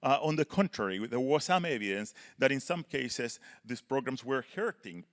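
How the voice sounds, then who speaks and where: A man from Chile, giving earnest panel remarks as a professor and often ending points with a quick "right?". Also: medium pitch, animated. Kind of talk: panel talk, prepared remarks.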